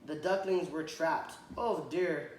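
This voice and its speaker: A man reading a children's picture book aloud to a child, stopping to point at the pictures and ask questions, and often clearing his throat. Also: on the high side, takes it slowly. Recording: echoey room, faint background noise.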